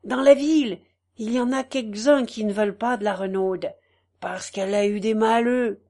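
A woman's voice: fairly high pitch, normal speed, mellow. A woman voicing a talkative middle-aged peasant farm wife with emphatic, rustic country speech. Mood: hesitant.

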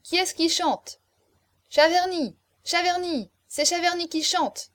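A woman voicing rowdy drunken aristocratic revellers in a storybook, shouting demands and jeers. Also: extremely high pitch, slow pace, pitched above the performer's own voice, heavily acted. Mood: rowdy, clamouring.

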